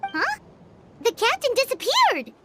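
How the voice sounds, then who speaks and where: A woman voicing a tiny, childlike fairy companion in a video game, squeaky and cheeky, with a blunt, suspicious, excitable manner. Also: measured pace, heavily acted. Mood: startled. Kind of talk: English video game voice acting. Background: background music.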